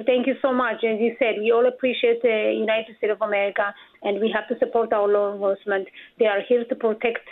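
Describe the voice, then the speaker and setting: A woman, answering interview questions earnestly with frequent pauses and fillers. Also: fairly high pitch. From Ethiopia. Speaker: political candidate. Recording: tinny phone line.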